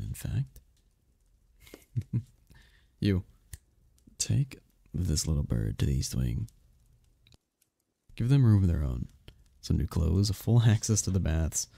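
A man voicing a seductive, possessive demon prince in an ASMR roleplay, with a husky, gravelly voice and a regal, flirtatious manner. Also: sits low, mellow, no echo, heavily acted. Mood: commanding. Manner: regal, slow-savouring, flirtatious.

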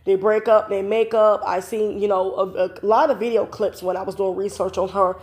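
A woman's voice: mid-range voice, fairly even intonation, normal speed, a little mellow. A stuffy-nosed woman in her thirties talks straight into her phone at home, still congested from a cold. She rambles through her opinions with plenty of fillers, casual and sure of herself. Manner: chatty, opinionated, frequent "you know" fillers. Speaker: vlogger host. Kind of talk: casual commentary vlog. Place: home, indoors. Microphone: phone camera close up.

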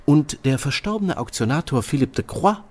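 An actor playing a brilliant, self-assured detective who lectures briskly about his deductions. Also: on the high side, lively intonation, a little mellow, husky. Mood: lecturing. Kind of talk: audio drama acting.

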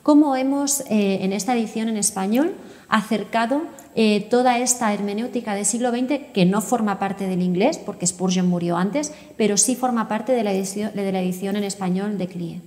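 A woman's voice: mid-range voice, normal speed, a little mellow. A woman in her thirties hosting an interview, talking in an explanatory, articulate way. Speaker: podcast host, interviewer. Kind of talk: conversational interview. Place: indoor book-lined room. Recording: reverberant indoor room.

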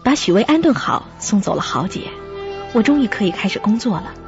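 A woman playing a sensible, caring young flatmate who narrates and acts in a radio drama, with a husky, breathy voice. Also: medium pitch, fairly quick, lo-fi sound, soft background music. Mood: uneasy narration.

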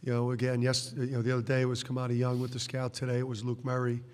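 A man's voice: medium pitch, monotone, fast talker, darkish tone. A middle-aged man, a basketball coach, answering press conference questions bluntly with many restarts and repeated words, speaking into a table microphone. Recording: reverberant press room.

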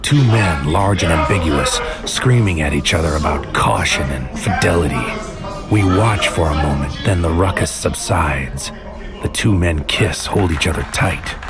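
Casting: brooding sci-fi noir narrator, low-pitched, clipped poetic delivery, over prominent music. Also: male voice, expressive. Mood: brooding, intense. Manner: poetic, clipped, deliberate phrasing. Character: brooding male mind infiltrator narrating a surreal noir mission. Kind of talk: scripted noir audio drama narration.

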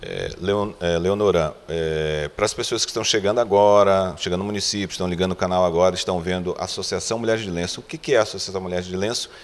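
A man's voice: low-pitched, steady tone, fast, few pauses. A middle-aged man with a Brazilian accent, a local reporter putting questions in an on-location interview. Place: indoor room with bare walls. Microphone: handheld reporter's microphone. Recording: reverberant room sound.

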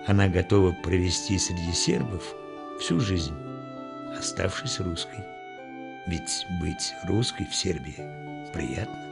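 A man reading scripted documentary narration in a measured, formal way. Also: deep voice. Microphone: studio voice-over. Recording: voice-over with music underneath.

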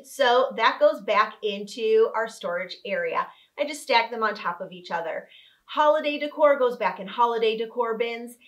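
A woman's voice: fairly high pitch, pushed and bright. A woman in her thirties, a home organizing vlogger, walking viewers through a tutorial in an upbeat, instructive, step-by-step way. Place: living room with couch, indoors.

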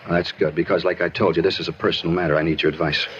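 A man's voice: low-pitched, little pitch movement, rapid-fire, lightly acted. An American actor playing an uneasy, evasive young man in a 1950s radio drama, hesitant yet insistent. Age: young adult. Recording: muffled, slightly noisy 1950s radio recording.

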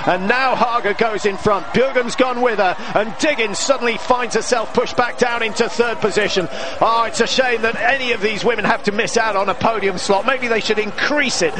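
A man giving live race commentary, excitable and often raising his voice to a shout as the action builds. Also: sits high, moderate pace. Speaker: sports commentator. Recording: broadcast mix with loud crowd noise.

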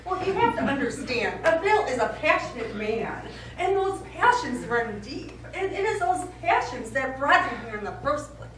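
An actress playing a polite, apologetic, slightly exasperated customer in a live stage comedy. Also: female voice, high voice, very animated, moderate pace, hardly any pauses, a bit bright. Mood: placating. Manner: polite, conciliatory. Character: apologetic, placating, mildly exasperated female customer. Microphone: distant audience camera. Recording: echoey theatre, distant mic, faint noise.

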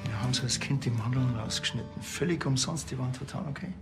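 Ein Schauspieler spielt einen wortkargen bayerischen Polizisten mit trockenem, sarkastischem Ton. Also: male voice, mid-range voice, fast, loud music behind the voice, close to an everyday voice. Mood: deadpan. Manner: deadpan, terse. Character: dry, sardonic male Bavarian policeman.

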